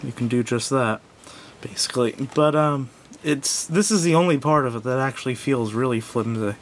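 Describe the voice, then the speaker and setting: A man giving a casual, unscripted hands-on product review in a hesitant, rambling, self-correcting way. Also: normal speed. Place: indoors at a wooden table. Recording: noisy home recording with low rumble.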